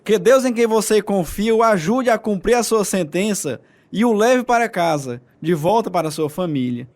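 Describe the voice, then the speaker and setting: A Soviet lieutenant colonel in a radio drama. His voice is high for a man, formal and authoritative, with a Brazilian accent. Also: animated, moderate pace, a little mellow. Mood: softening, reflective. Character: stern Soviet lieutenant colonel with a hidden soft side.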